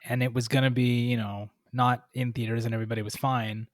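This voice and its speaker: A man co-hosting a casual movie review podcast, relaxed and storytelling. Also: medium pitch, brisk, no echo, studio quality. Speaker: podcast co-host.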